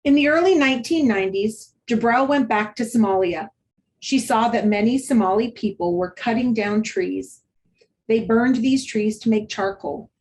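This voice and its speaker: A woman's voice: on the high side, moderate pace, a little mellow, a little hiss or hum, rough recording. Woman giving a structured lesson. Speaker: literacy instructor. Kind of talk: instructional presentation with modeled read-aloud.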